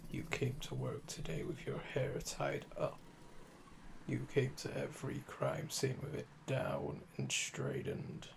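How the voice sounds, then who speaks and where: A man playing a calm, flirtatious, obsessive assassin under interrogation in an ASMR audio drama, teasing and deliberate, with breathy murmurs. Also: mid-range voice, a little hiss or hum, full character voice.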